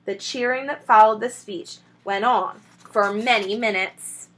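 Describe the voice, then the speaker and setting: A woman teacher reading a children's book aloud, expressive and stopping to point out the pictures. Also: medium pitch, a little slow, low-quality recording. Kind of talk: children's read-aloud storytelling.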